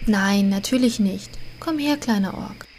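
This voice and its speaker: A woman's voice: medium pitch, unhurried, a little mellow, a little hiss or hum, close to an everyday voice. A woman playing a teasing, doting blood elf mage in a comedy audio drama. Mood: tender.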